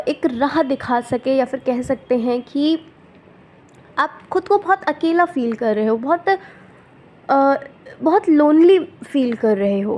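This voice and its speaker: Woman, high voice, sore throat, soft low volume, gentle reassuring tarot reader. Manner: gentle, reassuring, often checks in with "ठीक है?". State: sore throat, unwell, speaking softly. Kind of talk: tarot reading for viewers.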